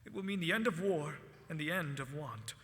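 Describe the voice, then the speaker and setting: A man's voice: on the high side, normal speed, a bit bright, close to an everyday voice. A man playing an idealistic, proud male inventor in a staged theatre reading, with impassioned, declamatory delivery. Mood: impassioned pleading. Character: Nikola Tesla, idealistic visionary male inventor, fervent and proud. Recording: reverberant hall, stage recording.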